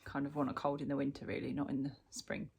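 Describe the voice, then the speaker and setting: A woman's voice: extremely low pitch, completely flat delivery, fast, vocal fry, a little mellow, husky. A woman from the UK chatting casually through a crochet work-in-progress update, sounding bunged up from a cold, with lots of ums and restarts. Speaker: crochet vlogger. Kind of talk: casual show-and-tell chat.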